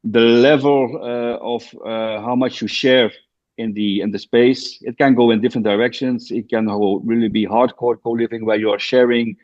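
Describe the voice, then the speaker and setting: A man from Belgium speaks as a podcast guest over a remote call, pausing often and circling back on his words. His voice sits at an ordinary pitch, and the audio comes through dull and lo-fi. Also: steady tone, a little mellow. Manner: hesitant, many fillers and restarts. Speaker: guest, co-living founder. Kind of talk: podcast interview, explaining.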